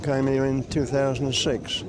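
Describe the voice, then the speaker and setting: A man's voice: medium pitch, level tone, normal speed. An older man, a war veteran, casually recalling his service in a matter-of-fact way during an interview. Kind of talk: casual recollection. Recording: outdoor crowd chatter behind.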